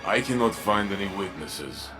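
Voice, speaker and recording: An actor playing a stern, blunt soldier in a video game, speaking in a formal way. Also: male voice, on the low side, animated, moderate pace, over music, lightly acted. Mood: grim. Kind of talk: dramatic video game cutscene acting.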